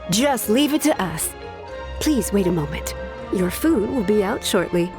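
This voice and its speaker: A woman voicing a friendly, easygoing female tavern owner who greets guests warmly and sounds amused. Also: medium pitch, lively intonation, measured pace, music in the background, lightly acted. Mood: welcoming. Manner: welcoming and amused. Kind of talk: game cutscene voice acting.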